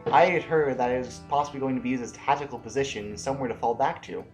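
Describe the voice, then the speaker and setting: Male actor as sci-fi military general, skeptical, deliberate, mid pitch, reverberant, audio drama. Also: natural voice. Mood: probing. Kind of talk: science-fiction audio drama acting. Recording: echoey room, music behind.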